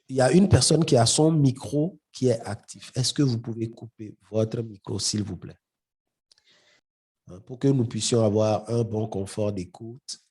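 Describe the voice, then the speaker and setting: A man's voice: fairly low pitch, darkish tone, breathy edge. A middle-aged man, leading a live marketing webinar, hesitant and often repeating words. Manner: addresses the audience directly. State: has a head cold. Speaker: webinar trainer.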